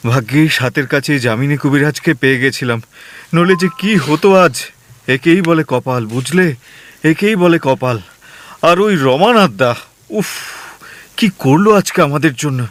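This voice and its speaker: A man's voice: fairly high pitch, a little slow, a bit bright. A man playing a young fretful husband. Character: young newly married husband, boastful, easily swayed. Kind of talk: audio drama acting.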